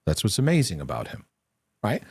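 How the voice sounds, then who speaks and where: A man's voice: fairly low pitch, wildly swooping pitch, fairly quick, a little mellow, husky, no echo, clean studio sound. A man hosting a live question-and-answer broadcast, giving earnest, reassuring faith-based teaching. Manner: earnest, reassuring, lots of restarts. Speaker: host.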